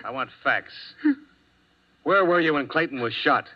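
An actor playing a steady, clipped insurance investigator who also narrates, in a 1950s radio drama. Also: male voice, mid-range voice, measured pace, close to an everyday voice. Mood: firm. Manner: cool, firm, clipped. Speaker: narrator and lead detective. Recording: dull lo-fi old radio broadcast.

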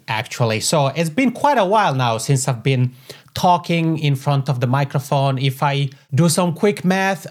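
A man's voice: a little high, darkish tone. Man with a Swiss Italian accent. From Italian-speaking Switzerland. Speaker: podcast consultant, guest. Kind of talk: conversational interview answers. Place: home office. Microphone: podcasting microphone.

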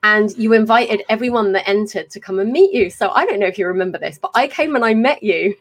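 A woman, hosting a casual chat over a video call, talkative and quick to laugh and swear. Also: mid-range voice. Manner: chatty, swears freely, laughs often. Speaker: podcast host. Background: faint fan hum.

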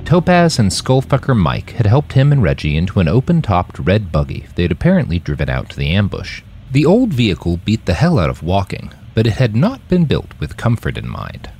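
A man's voice: fairly low pitch, wildly swooping pitch, a little fast, few pauses, darkish tone, soft background music. A man narrating scripted fiction as an audiobook-style story. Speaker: author and narrator.

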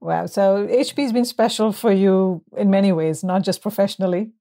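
A woman with a warm, mellow voice hosts an interview. She asks prompting questions that recap what her guest has said before. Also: mid-range voice, normal speed.

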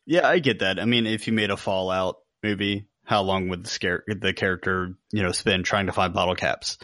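A man's voice: low voice, normal speed, a bit bright, no room sound, studio quality. A man co-hosting a podcast and giving his opinions in casual conversation. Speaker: podcast co-host. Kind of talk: casual chat, opinions on games.

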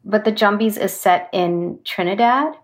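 A woman hosts a podcast from her home office, speaking over a video call. She asks short, curious questions and keeps her guests talking with steady encouragement. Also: mid-range voice, a little slow. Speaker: podcast host. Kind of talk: friendly interviewing over a call.

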